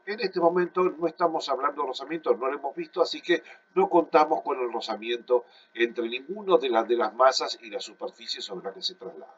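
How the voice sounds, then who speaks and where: A man's voice: medium pitch, slightly rough, lo-fi sound. A man, congested and coughing, explaining a physics problem in a recorded online class at his computer. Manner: steady explanatory, many fillers and restarts. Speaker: physics teacher. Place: at home at a computer.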